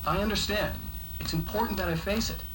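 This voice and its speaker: An actor playing a troubled, defeated and haunted writer in a video game. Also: male voice, sits high, a little fast, noisy background, natural voice. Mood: meek, defeated. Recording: loud music over the voice.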